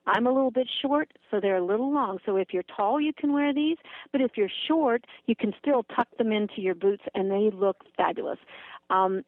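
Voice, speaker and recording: A woman's voice: a little high, lively intonation, normal speed, no room sound. Female presenter for an enthusiastic product segment, emphatic and chatty, heard dry over a thin phone line. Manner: gushing, emphatic. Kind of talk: enthusiastic conversational product pitch. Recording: muffled telephone-like line.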